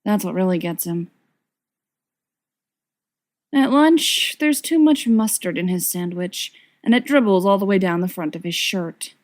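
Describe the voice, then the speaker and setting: A woman's voice: medium pitch, animated, unhurried, long pauses, a little mellow. A woman narrating a written story expressively, with wry, dramatic emphasis. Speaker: audiobook reader of fan fiction.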